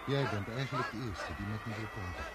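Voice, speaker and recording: A man's voice: lowish voice, fast, very noisy, lightly acted. An actor playing a naive, wistful fisherman's son from overseas, speaking simply and earnestly in a radio play. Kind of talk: Dutch radio play acting.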